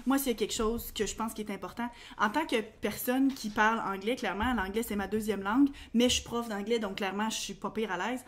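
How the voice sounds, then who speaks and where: Québécois woman co-host, young, high-pitched, quick chatty delivery, casual podcast. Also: steady tone. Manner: chatty, quick, colloquial, many fillers. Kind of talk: casual chat.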